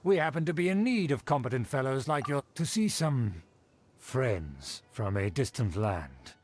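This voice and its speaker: An actor playing a haughty, condescending aristocrat in a video game, speaking in a formal, grandiose manner. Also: male voice, medium pitch, very animated, unhurried, darkish tone, a little hiss or hum. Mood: pleased, formal. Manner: formal, grandiose, condescending, flustered when slipping. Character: pompous, haughty aristocrat of a faded noble clan.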